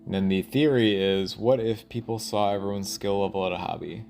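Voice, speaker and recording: A man thinking aloud in a rambling, casual audio log, halting and often trailing off mid-thought. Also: fairly low pitch, creaky, darkish tone, a little hiss or hum. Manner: casual, profane.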